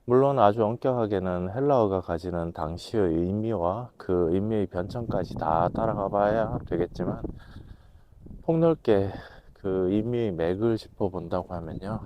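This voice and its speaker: A man with a voice hoarse from a cold, giving a measured, reflective talk to camera, pausing to think as he explains. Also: lowish voice, warm tone, slight background noise. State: has a cold. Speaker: host, management consultant lecturer.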